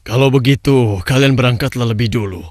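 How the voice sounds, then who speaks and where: A man's voice: medium pitch, a little fast, dry recording, studio quality, natural voice. A man playing a plain-spoken foot soldier in a cartoon. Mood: doubtful. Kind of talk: Indonesian cartoon voice acting.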